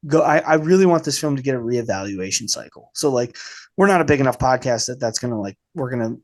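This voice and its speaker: A man hosting a casual film podcast, speaking informally and haltingly with a slight cold in his voice. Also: mid-range voice, animated. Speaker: podcast host.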